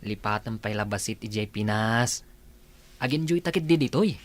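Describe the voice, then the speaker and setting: A man's voice: fairly low pitch, animated, normal speed, natural voice. An actor playing an easygoing, practical male buddy in a radio drama, with a casual, joshing delivery. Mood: teasing, friendly. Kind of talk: Ilocano radio drama acting.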